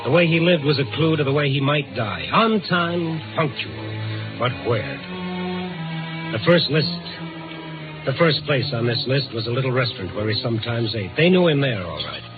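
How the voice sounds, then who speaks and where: An actor playing a dogged, compassionate American newspaper reporter in 1950s radio drama, urgent and clipped. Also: male voice, medium pitch, sing-song, moderate pace, close to an everyday voice. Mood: tense narration. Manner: urgent, clipped, hard-boiled narration and dialogue. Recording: tinny vintage radio recording with music.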